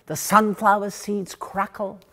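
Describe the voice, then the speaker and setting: A woman reading a short story as theatrical narration, dramatic, with emphatic pauses. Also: medium pitch, flat delivery, a bit bright. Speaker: reader of short stories.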